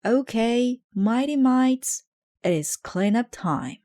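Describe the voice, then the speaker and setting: An eager little boy mouse played by a woman captains a storybook ball team. He exclaims bossy, rallying orders at a slow, deliberate pace. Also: fairly high pitch, animated, mellow, pitched above the performer's own voice. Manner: commanding, exclaiming.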